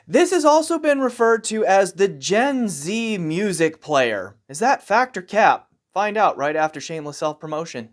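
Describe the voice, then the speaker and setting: A man's voice: high voice, sing-song, moderate pace, hardly any pauses, no echo, clean studio sound. A man giving a casual, conversational product review as the host of an audio gear channel. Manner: conversational, informal.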